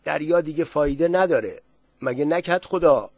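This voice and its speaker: A man's voice: on the high side, a little mellow, no room sound, dull audio, voice pushed up, lightly acted. A man reading the lines of an anxious, poor village man who pleads in a raised voice. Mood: uneasy.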